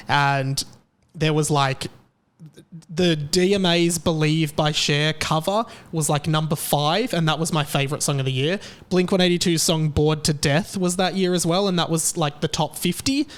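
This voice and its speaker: Rambling Australian man podcasting solo. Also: a little high. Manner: rambling, self-mocking, many fillers and restarts. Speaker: podcast host. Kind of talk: casual solo podcast chat.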